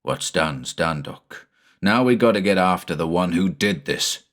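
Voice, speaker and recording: A man voicing a grieving farmer father set on vengeance, speaking low, quiet and hard. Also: expressive, unhurried. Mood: grim.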